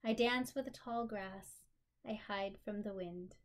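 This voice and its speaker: Woman voicing a slow, dreamy storybook village princess. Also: mid-range voice, natural voice. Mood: expressive, weary, relieved. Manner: dramatic, lingering phrasing. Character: young African village girl, dreamy, lively storybook princess narrator. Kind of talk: expressive picture-book read-aloud.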